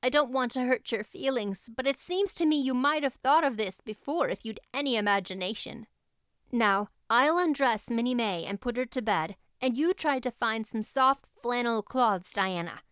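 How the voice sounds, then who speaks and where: Plucky storybook girl voiced by a woman, confident, brisk and earnest, raised pitch, crisp tone. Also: expressive, fairly bright tone, no room sound, studio quality. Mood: brisk, bossy. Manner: brisk, earnest, take-charge. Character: confident, capable, talkative eleven-year-old girl heroine.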